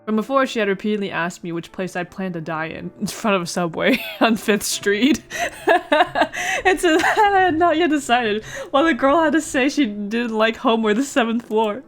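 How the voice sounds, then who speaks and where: A woman's voice: a little high, normal speed. A woman hosting a casual let's play, reading a visual novel aloud with playful asides and stumbles over words. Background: music.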